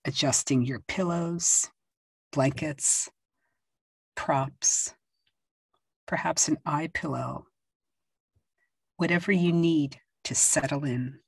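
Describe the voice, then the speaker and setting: A woman leading a yoga nidra meditation, speaking softly and soothingly with long pauses. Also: low-pitched, steady tone, vocal fry, breathy edge, no echo, low-quality recording. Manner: slow, soft and soothing, with long pauses and gentle instructions. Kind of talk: guided meditation.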